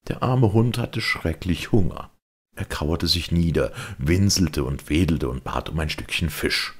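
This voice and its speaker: A man narrating a children's audiobook as an expressive storyteller. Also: deep voice, unhurried, breathy edge.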